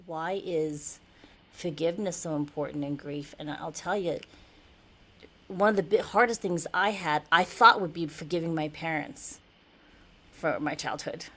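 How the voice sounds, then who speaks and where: A woman's voice: low-pitched, a little slow, fairly bright tone, soft background music. A woman hosting a podcast interview, born in Taiwan, asking questions in a conversational way and sometimes restarting her sentences mid-thought. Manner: enthusiastic scripted intro. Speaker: podcast host.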